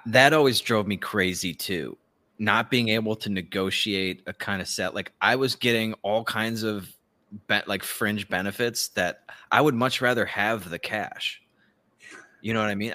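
Low-voiced man hosting a podcast, dry close sound. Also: pushed and bright, dry recording. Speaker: podcast host. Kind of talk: casual talk show commentary.

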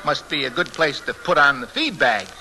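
An actor playing a wisecracking, easygoing young husband in 1940s radio mystery-comedy style, with a breezy, joking delivery on a noisy vintage broadcast recording. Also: male voice, on the high side, very animated, moderate pace, vocal fry, pushed and bright, exaggerated voice.

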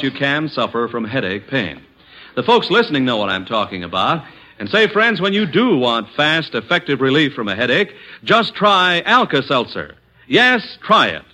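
Male vintage radio announcer, emphatic salesman delivery, very bright, animated intonation. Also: fairly high pitch, poor audio. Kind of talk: vintage radio sponsor pitch.

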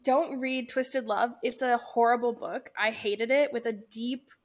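A young woman co-hosting a book podcast sounds stuffed up from a cold. Her voice is high, and she gives her opinions bluntly and with strong emphasis. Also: steady tone, a little slow. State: congested with a cold. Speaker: podcast co-host. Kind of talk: casual book review chat.

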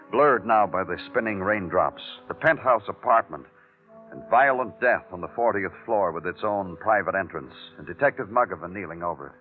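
Moody, low-voiced American radio detective. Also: male voice. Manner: moody, deliberate, hardboiled. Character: world-weary, poetic male police detective narrator. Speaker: detective and narrator. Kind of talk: 1950s radio crime drama acting. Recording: narrow, tinny vintage radio recording, music.

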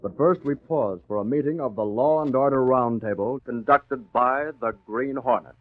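Old time radio announcer guy, dramatic formal narration, mid pitch, tinny narrow sound. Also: moderate pace, slight background noise. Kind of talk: 1940s radio serial scripted narration.

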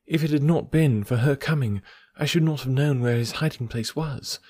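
A man reading an audiobook, voicing an anxious, noble young woman making a confession in a measured, softened way. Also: medium pitch, dry recording, pitched above the performer's own voice, close to an everyday voice. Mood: distressed. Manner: earnest, measured, softened delivery.

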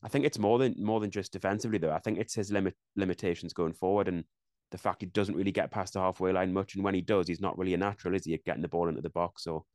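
A young Englishman with a low voice rattles through his opinions at a fast clip, doubling back and restarting as he thinks aloud. He is co-hosting a casual football chat. The sound is dry and close, with almost no room tone. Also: steady tone, darkish tone, no echo. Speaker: podcast co-host.

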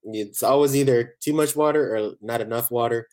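A man from Barbados, chatting casually as a chef guest in a relaxed, rambling way. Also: medium pitch, normal speed. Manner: relaxed, rambling, many "you know" fillers. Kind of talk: casual interview chat.

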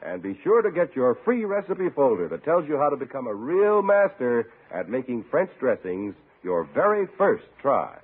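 Very animated, husky vintage radio announcer man. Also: medium pitch, normal speed, hardly any pauses. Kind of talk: 1950s radio announcing, scripted. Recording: narrow-band old radio broadcast recording.

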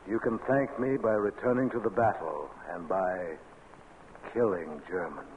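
An actor in an old-time radio drama playing a stern secret commander of prison camp escapes, grave and authoritative, on a hissy vintage recording. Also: male voice, deep voice, fairly even intonation, a little slow, highs cut off. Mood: grave, quiet.

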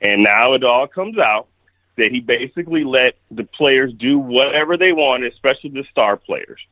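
A man's voice: medium pitch, animated, moderate pace, sharp tone, dry recording, rough recording. A man giving blunt, opinionated takes as the guest on a casual sports chat, often restarting his sentences. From Kalamazoo, Michigan.

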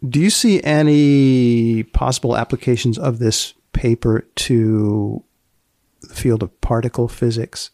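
A man hosting a podcast, asking short probing questions and reading scripted narration in a deadpan way. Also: medium pitch, unhurried, no echo, studio quality. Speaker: podcast host.

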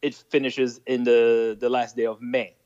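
A man's voice: medium pitch, level tone, moderate pace, a little mellow, dry recording, highs cut off. A man from Nova Scotia with an Acadian French accent, French his first language, chatting as an interview guest and laughing at his own jokes. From Nova Scotia, Canada. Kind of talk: casual storytelling interview.

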